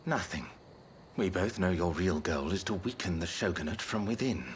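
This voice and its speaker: An actor playing a stern, disdainful conspirator in a video game, speaking coolly and formally. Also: male voice, sits low, animated, moderate pace, slight background noise. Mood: cold disdain. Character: stern, disdainful political conspirator in feudal Japan. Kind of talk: dramatic video game dialogue.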